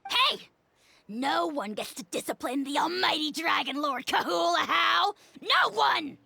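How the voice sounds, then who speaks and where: Shrill, pompous tiny dragon lord. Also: female voice, expressive, measured pace, creaky, sharp tone, no room sound, exaggerated voice. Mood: outraged, threatening. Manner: pompous, theatrical, grandiose declarations and threats. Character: tiny bombastic pompous dragon lord companion, easily outraged. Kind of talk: video game character acting.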